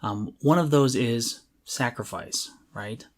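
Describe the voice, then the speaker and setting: A man's voice: mid-range voice, slow pace. A man speaking casually and earnestly as a podcast co-host, giving emphatic advice on creative life.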